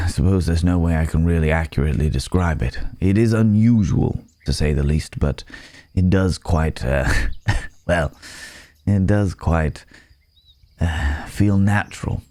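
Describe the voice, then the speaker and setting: A man playing a gentle, apologetic werewolf in an intimate ASMR roleplay, speaking in a hesitant, polite, old-fashioned way with soft chuckles. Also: deep voice, no room sound.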